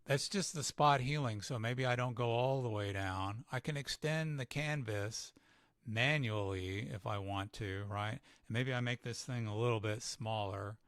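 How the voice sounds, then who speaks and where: A man giving a casual live software tutorial, thinking aloud with fillers, his voice starting to go. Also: mid-range voice, a little slow, dry recording, studio quality. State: losing his voice. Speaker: photographer demonstrating editing software.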